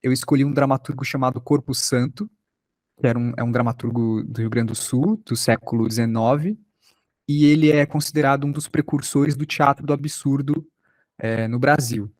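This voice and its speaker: A man's voice: medium pitch, steady tone, moderate pace, darkish tone, no room sound. A man with a Brazilian accent giving an informal online lecture as a workshop instructor, talking conversationally and with some self-deprecation about his own experience.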